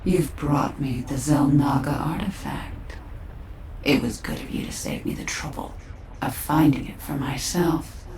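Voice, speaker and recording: A woman's voice: sits low, unhurried. An actress playing a menacing, haughty alien swarm queen in a video game. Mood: mocking menace. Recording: echoing, noisy battle ambience.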